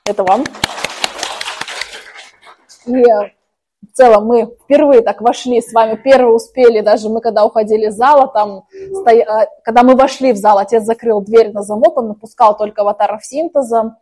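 A woman's voice: on the high side, fairly even intonation, moderate pace, warm tone, low-quality recording. A woman leading a group spiritual practice, first intoning set phrases, then explaining at length in a chatty way with many fillers. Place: hall with a group.

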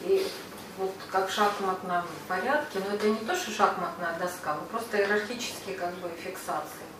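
A woman taking part in a group session, casually describing impressions in halting, pieced-together phrases, on an echoey, distant recording. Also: medium pitch, animated. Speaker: participant describing what they perceive. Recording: very echoey, distant mic, clear background noise.

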